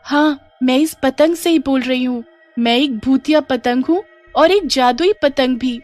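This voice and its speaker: Woman voicing a high, dramatic ghostly magic kite. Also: a little slow, a little mellow, no room sound. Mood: eager. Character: friendly ghostly magic kite, female, eager and powerful. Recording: music behind the voice.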